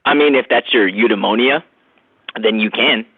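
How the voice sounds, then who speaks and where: A man on a phone, giving a casual, slangy, persuasive sales pitch peppered with man and bro. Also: moderate pace, breathy edge, no room sound. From San Francisco Bay Area, USA. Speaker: phone sales representative for a self-help program. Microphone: telephone. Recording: tinny narrowband phone line.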